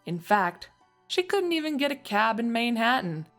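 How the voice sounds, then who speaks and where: A woman's voice: on the high side, big pitch swings, moderate pace, fairly bright tone, soft background music. A woman narrating a scripted story as its narrator, reading in prose between characters' dialogue.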